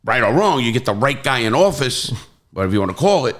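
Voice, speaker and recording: A man's voice: medium pitch, big pitch swings, fast talker, a bit bright. A man hosting an interview, casual and rambling, often jumping in. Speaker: podcast host. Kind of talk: interview conversation.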